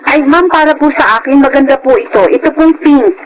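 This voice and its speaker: Very high-voiced, meek Filipino housemaid , played by a woman. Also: fairly quick, slight background noise, natural voice, rough recording. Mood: earnest. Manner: polite, deferential, earnest. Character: meek, honest, earnest young female housemaid. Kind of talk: Filipino radio drama acting.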